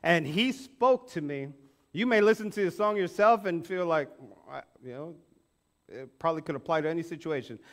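A man in his forties preaching a sermon on a church stage, animated, acting out quoted voices and swinging from loud to hushed. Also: a little high, normal speed. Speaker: pastor. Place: church stage with band instruments.

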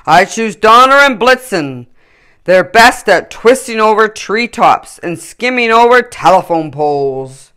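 A big-hearted old Santa Claus played by a woman reading aloud, booming and emphatic. He draws his words out heartily and swings widely in pitch, in a children's storybook style. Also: animated, a bit bright. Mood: jolly. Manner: hearty, emphatic, drawn-out delivery.

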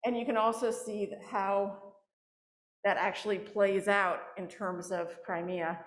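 A mid-pitched woman delivers an academic talk to an audience, explaining step by step and often restarting her sentences. She speaks into a podium microphone. Her voice carries into an echoey lecture hall. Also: flat delivery, a little slow. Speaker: anthropology professor giving a public lecture. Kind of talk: academic presentation.

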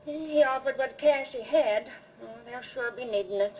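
A woman's voice: high voice, normal speed, a little mellow, lightly acted. An American woman playing a weary, grieving, motherly ranch widow, folksy and resigned, in 1950s Western radio style. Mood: resigned, sorrowful. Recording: noisy old radio recording.

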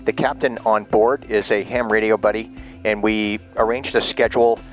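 A man's voice: fairly low pitch, fairly even intonation, moderate pace, lo-fi sound. Male ham operator, casual and upbeat, recorded on a desk camera at home with faint music behind. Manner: upbeat, frequent uh fillers. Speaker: ham radio operator and private pilot. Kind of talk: casual vlog narration and radio chat. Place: home radio room. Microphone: GoPro camera on the desk.

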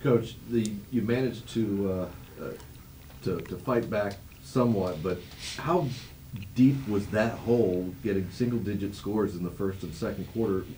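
Halting male reporter, low and mellow. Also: a little hiss or hum, poor audio. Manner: halting, searching for words. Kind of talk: asking press conference questions.

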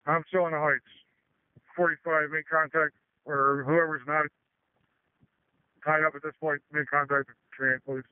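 A man calling units over fire radio in flat, even phrasing. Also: on the high side, moderate pace, long pauses, clear, resonant tone, no room sound. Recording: phone-like radio audio.

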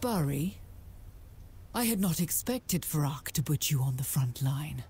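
A woman playing a proud, stern dragon leader in a video game, speaking in a measured, commanding and cold manner. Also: low voice, expressive, over music. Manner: measured, imperious, clipped.

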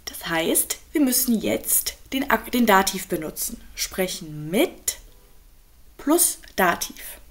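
A young woman, a native speaker and German teacher, calmly explaining grammar in an online lesson with worked examples. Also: medium pitch. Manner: slow, clear, patient explaining with examples.

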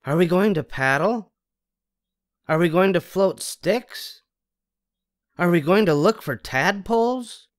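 A man's voice: high-pitched, expressive, slow, pauses often, no room sound, studio quality, pitched above the performer's own voice. A man voicing an eager little girl piglet in a light, childlike storybook voice. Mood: excited, curious.

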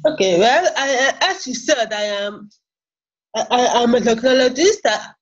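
A Ghanaian woman in her forties, a software engineer talking about herself haltingly, with many repeated words, on a video call from a small office. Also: on the high side, animated. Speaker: interview guest, software engineer. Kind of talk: casual interview talk. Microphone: laptop webcam on a video call. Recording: muffled video call audio.

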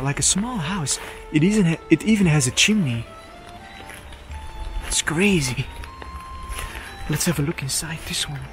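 Guy vlogging outside an old ruined villa, a bit high voice, excited and rambly, music playing under it. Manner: chatty, enthusiastic, many fillers. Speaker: urban explorer vlogger. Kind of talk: casual walkthrough commentary. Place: outdoors at an abandoned villa in woods. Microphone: handheld camera mic.

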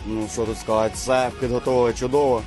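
A man's voice: medium pitch, little pitch movement, fast. A man, a football fan, answering hesitantly into a reporter's handheld mic on a city street at night. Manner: hesitant, searching for words. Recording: noisy outdoor street recording. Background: music and crowd.

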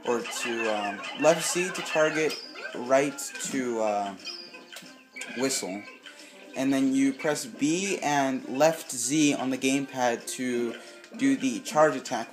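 A man casually commenting while he plays a video game, slightly raspy and hesitant, with lots of ums, filmed off a TV at home. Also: mid-range voice. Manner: rambling, hesitant with many ums. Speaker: gameplay video host. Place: home room with TV, filming screen. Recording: echoey room, game music behind.

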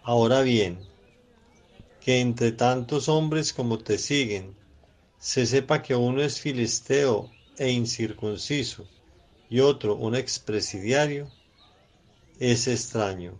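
An actor playing a cautious, disapproving Pharisee in a Spanish radio drama, measured and admonishing. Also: male voice, medium pitch. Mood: cautious disapproval. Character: cautious, disapproving male Pharisee elder named Joseph.

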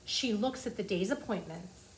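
A woman's voice: mid-range voice, animated, normal speed. A woman in her forties reading a children's nonfiction book aloud like a teacher, explaining things simply to young listeners in a small office. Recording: clearly noisy room ambience.

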